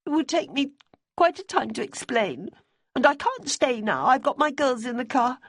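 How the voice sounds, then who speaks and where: A woman's voice: high voice, expressive, normal speed, no room sound, voice pushed up. A woman reading an audiobook, voicing an anxious young widow who talks in an urgent, pleading way, her voice raised well above her own.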